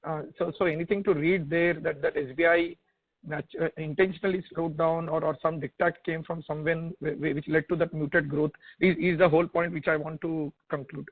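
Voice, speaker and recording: A man with an Indian accent asking questions as an analyst on a conference call, halting and repeating his words over a phone line. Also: a little high, steady tone. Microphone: telephone conference call. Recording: tinny narrowband phone line.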